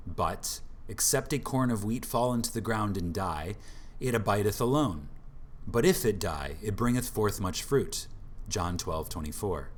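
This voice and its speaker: A man reading an audiobook from a script in an emphatic, deliberate manner, with dramatic pauses for effect. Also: fairly low pitch, lively intonation, slight background noise. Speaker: audiobook narrator.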